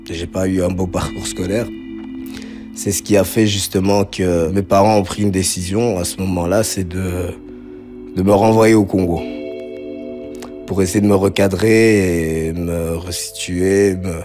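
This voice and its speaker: Deep-voiced man telling his story. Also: a little mellow. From Belgium, grew up around Liège. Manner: reflective, halting, repeats words as he searches. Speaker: interview subject telling his life story. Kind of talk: personal testimony, spontaneous storytelling. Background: music under the voice.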